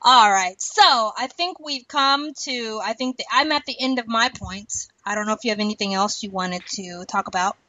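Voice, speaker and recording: A woman's voice: a little high, moderate pace, hardly any pauses, bright, forceful tone, no echo, poor audio. A woman co-hosting a podcast, chatting casually and emphatically about a TV show, repeating words for stress. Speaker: podcast co-host.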